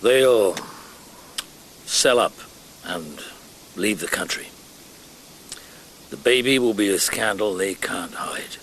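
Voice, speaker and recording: A man acting a weary, gruff middle-aged chief inspector in a radio drama, wryly reflective, with a husky voice. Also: lowish voice, expressive, slow pace, pauses often, fairly bright tone, slight background noise. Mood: grave, regretful.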